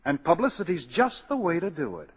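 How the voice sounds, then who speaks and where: An actor playing a confident, idealistic, quick-witted lawyer in a 1940s radio drama, with a husky voice. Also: male voice, on the high side, huge pitch swings, heavily acted. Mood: confident, sly. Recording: dull 1940s radio broadcast, long reverb.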